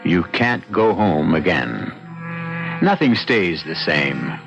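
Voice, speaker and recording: A man narrating a 1970s radio mystery as its solemn storyteller, measured, literary and dramatic, on a vintage radio recording. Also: low-pitched, gravelly, highs cut off, exaggerated voice.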